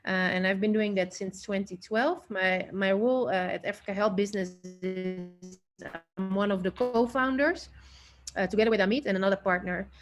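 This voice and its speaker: A woman's voice: mid-range voice, steady tone, moderate pace, soft background music. A woman from the Netherlands introduces herself as a managing director in an informal online seminar, over internet call audio.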